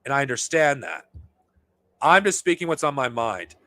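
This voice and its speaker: A man's voice: mid-range voice, big pitch swings, normal speed, bright, forceful tone, no room sound. Heated, profane man, dry close sound. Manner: heated, profane, rambling with restarts. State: losing his voice. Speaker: podcast host. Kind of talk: unscripted monologue to viewers.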